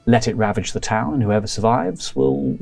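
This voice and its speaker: Man playing a hesitant librarian, rambling and evasive, lively pitch. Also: normal speed, creaky, no echo, soft background music. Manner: hesitant, rambling with many fillers and restarts. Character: fussy male village librarian, polite and evasive.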